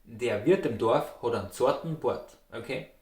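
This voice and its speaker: A man's voice: mid-range voice, animated, moderate pace, a little mellow, roomy sound. A man from Austria with an Austrian German accent, teaching pronunciation in a patient, demonstrative way and often checking in with "okay?". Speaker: dialect teacher. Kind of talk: pronunciation lesson.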